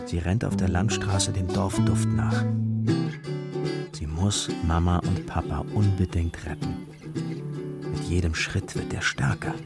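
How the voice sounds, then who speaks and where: A man's voice: low voice, fairly even intonation, measured pace, creaky, long pauses. A man narrating a children's radio play as its storyteller, with guitar music behind him. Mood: storytelling. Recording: loud guitar music under the voice. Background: plucked guitar music.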